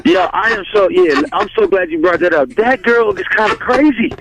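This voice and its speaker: A laid-back man answers dismissively in a high, lively voice. The noisy clip carries a clear hiss under his words. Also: normal speed. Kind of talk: casual interview.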